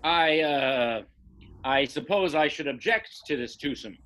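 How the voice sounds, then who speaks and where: Casting: stiff, suspicious male fiancé, played by a man, forceful and lively-pitched, hesitant, radio drama. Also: unhurried, a little hiss or hum. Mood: awkward. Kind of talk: radio play acting.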